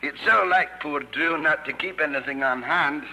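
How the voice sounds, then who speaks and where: An actor playing a kindly, plain-spoken doctor with a courteous, folksy manner in a 1950s radio drama. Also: male voice, fairly high pitch, normal speed, bright, forceful tone. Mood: warm, earnest. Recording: faintly noisy 1950s radio broadcast.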